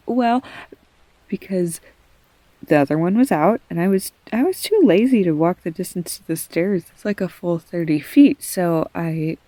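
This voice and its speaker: A woman's voice: sits low, dark tone, dry recording, heavily acted. A young woman playing an affectionate, self-deprecating girlfriend in an intimate roleplay audio, rambling candidly and casually. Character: affectionate, self-deprecating girlfriend comforting her partner, goofy storyteller.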